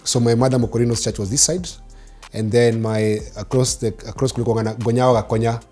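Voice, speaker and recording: Man, forties, Kenyan accent, native Swahili speaker, conversational storytelling, interview guest, medium pitch. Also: fairly even intonation, fast, mellow, faint music behind. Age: 40s. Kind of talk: telling life story, conversational.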